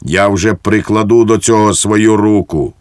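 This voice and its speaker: A man's voice: a little slow, a little mellow, clear, resonant tone, no room sound, clean studio sound, natural voice. A man voicing an irascible, bullying army second lieutenant in an audiobook, barking out threats and orders. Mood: furious. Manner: barking, shrill outbursts, threatening. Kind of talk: audiobook character acting.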